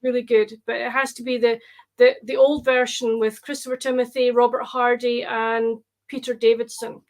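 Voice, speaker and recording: A woman chatting casually as a guest, in a halting way that repeats words as she goes. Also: on the high side, flat delivery. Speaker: guest on a book chat. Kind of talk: casual chat. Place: indoors on a group video call.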